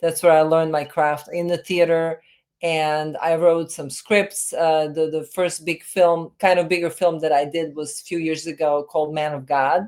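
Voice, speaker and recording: Woman in her fifties, deep low voice, halting speech full of fillers, video call. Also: level tone, a little mellow. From Serbia. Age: 50s. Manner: hesitant, many fillers and restarts. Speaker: film director and writer, guest. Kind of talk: remote interview, reflective storytelling.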